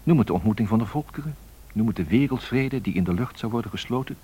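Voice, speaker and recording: An actor playing a reflective, sceptical narrator in a radio drama, with wry, rhetorical, musing delivery. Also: male voice, mid-range voice, expressive, fast, warm tone, husky. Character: reflective, sceptical male narrator looking back on aviation pioneers.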